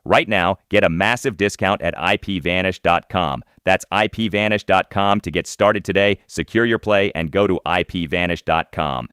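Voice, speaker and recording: A man's voice: deep voice, normal speed, few pauses, fairly bright tone, clean tone, no room sound, clean studio sound. A man hosting a podcast, moving between commentary and sponsor reads. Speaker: podcast host.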